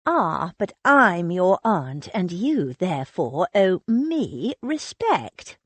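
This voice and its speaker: A woman voicing a shy but quietly defiant young woman in a storybook reading, speaking softly and earnestly. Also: mid-range voice, very animated, normal speed, no room sound.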